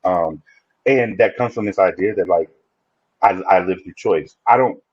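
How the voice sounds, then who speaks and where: A man's voice: sits low, moderate pace, darkish tone, husky, no echo, dull audio. A man guesting on a podcast, talking reflectively in a casual interview and often restarting his sentences. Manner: reflective, speaks in restarts and repeats.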